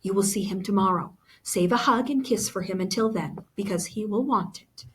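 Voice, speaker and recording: A woman reads as a proud storybook mother laying down what will be done. She is confident and firm, with a reassuring steadiness and a mid-to-raised pitch. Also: normal speed, a little hiss or hum, natural voice.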